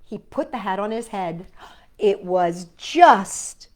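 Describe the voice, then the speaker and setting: A woman's voice: mid-range voice, expressive, slow, a little mellow. A woman hosting a storytime, reading children's stories and poems expressively and speaking directly to young listeners. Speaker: storytime host and reader. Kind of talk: children's story read-aloud.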